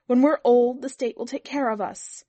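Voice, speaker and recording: A woman's voice: high-pitched, very animated, moderate pace, mellow, no echo, voice pushed up. A woman reading the part of a put-upon young wife in a story, earnest and pleading under strain. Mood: upset, angry. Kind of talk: dramatic audiobook character reading.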